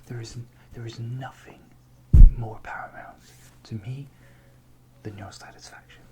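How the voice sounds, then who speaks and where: A man whispering ASMR-style into a close microphone covered by a fluffy windshield, rambling softly with playful, self-mocking asides while he films himself on a phone. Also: medium pitch, fairly even intonation, a little slow, low-quality recording. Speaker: ASMR creator.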